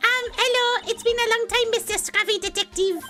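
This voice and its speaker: A woman's voice: very high voice, steady tone, a little fast, bright, forceful tone, soft background music, pitched above the performer's own voice. A woman voicing a cheerful, teasing young spirit-medium girl in a game.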